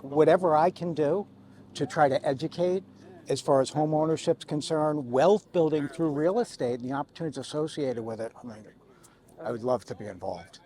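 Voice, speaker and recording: A man in his fifties chats casually as an interview host. His voice is a little higher than average for a man, and he is agreeable, often affirming in short phrases. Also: brisk, dark tone. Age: 50s. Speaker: podcast host.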